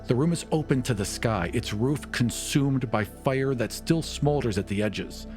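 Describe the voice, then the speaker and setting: A man narrating a tabletop game as game master, in a deliberate, dramatic, descriptive style. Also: mid-range voice, a little mellow. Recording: music behind the voice.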